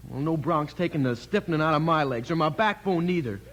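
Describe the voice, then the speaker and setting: An actor playing a restless, defiant teenage cowboy with folksy frontier talk in a 1950s radio western. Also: male voice, fairly high pitch, expressive, a little fast, a bit bright. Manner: folksy drawl-speak, headstrong. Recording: noisy vintage radio recording.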